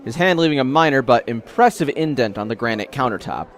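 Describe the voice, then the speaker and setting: A man's voice: mid-range voice, expressive, brisk. A man reading scripted story narration for an audio drama as its narrator.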